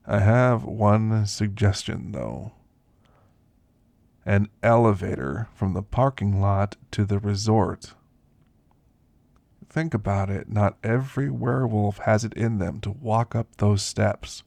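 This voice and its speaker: A man playing an elderly, ailing werewolf in an ASMR roleplay, gentle and reflective, reminiscing in a soft, deliberate, gravelly voice. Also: on the low side, dry recording, exaggerated voice. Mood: earnest, hopeful. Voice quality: gravelly, husky.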